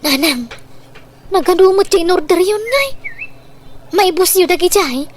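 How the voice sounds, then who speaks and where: A woman's voice: extremely high pitch, animated, normal speed, a little hiss or hum. A woman playing a reluctant, embarrassed young daughter in a comedy radio drama, protesting whinily with an Ilocano accent.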